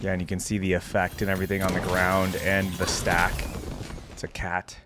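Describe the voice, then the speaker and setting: A man's voice: low-pitched, monotone, moderate pace, noisy background. A man giving casual, enthusiastic reaction commentary on game skins, thinking aloud as he goes, with game music behind him. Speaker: reaction video host. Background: game music and effects.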